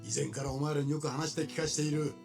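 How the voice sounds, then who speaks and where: An actor playing a brash, confident swordsman leader in a period game drama, with rough, commanding, blunt speech. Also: fairly high pitch, level tone, very fast, over music. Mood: proud.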